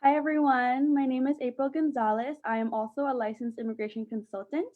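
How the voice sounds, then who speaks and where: A woman's voice: sits high, fairly even intonation, fairly quick. Woman on a tinny, phone-like line. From Philippines. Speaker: immigration consultant.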